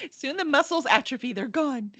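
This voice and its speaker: A woman hosts a casual interview with playful enthusiasm. Her voice sits a little high and moves around a lot as she chats. The sound is dry, with very little room in it. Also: normal speed, no echo, soft background music. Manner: enthusiastic, chatty, expressive. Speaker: podcast interviewer.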